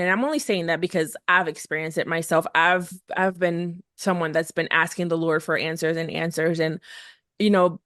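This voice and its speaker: A woman's voice: lowish voice, fairly bright tone, no echo, studio quality. A woman hosting a faith podcast for moms, speaking in an encouraging, casual way with frequent fillers and restarts. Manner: encouraging, hesitant with many fillers. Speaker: podcast host.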